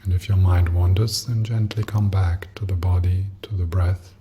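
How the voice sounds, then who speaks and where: A man leading a guided meditation as a teacher, speaking gently and softly in an unhurried manner with long pauses. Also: deep voice, fairly even intonation, a little hiss or hum.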